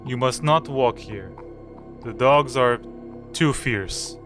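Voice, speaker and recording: An actor playing a grim foreign coach driver in an audio drama, with a menacing, darkly jesting manner. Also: male voice, medium pitch, fairly bright tone, faint music behind. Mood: stern warning. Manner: slow, grimly jesting delivery.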